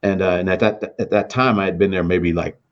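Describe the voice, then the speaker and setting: An older American man casually telling an anecdote from his radio career, acting out the dialogue in an animated manner. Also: low voice, fairly quick, dull audio. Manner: animated, acts out quoted dialogue. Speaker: veteran radio announcer telling career memories.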